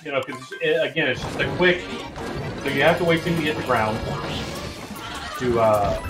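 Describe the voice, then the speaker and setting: A man's voice: medium pitch, expressive, normal speed. Male speedrun commentator, casual bantering delivery, roomy sound, game music underneath. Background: video game music.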